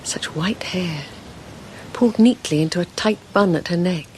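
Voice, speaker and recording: An actress playing a haunted, fretful wife in a radio drama, in a hushed, halting, dreamy monologue. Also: female voice, fairly low pitch, slight background noise, natural voice. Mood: awed, dreamy. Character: haunted, fretful wife recounting an eerie vision.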